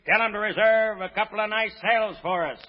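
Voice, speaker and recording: A man's voice: high-pitched, a little fast, close to an everyday voice. An actor playing a grumbling, sardonic gambler's henchman in a 1930s radio comedy. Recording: muffled, echoing old radio recording.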